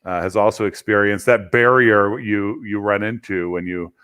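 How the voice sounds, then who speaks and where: A man's voice: on the low side, moderate pace, smooth. Chatty male interview host. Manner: chatty, storytelling, animated emphasis. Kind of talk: casual interview conversation.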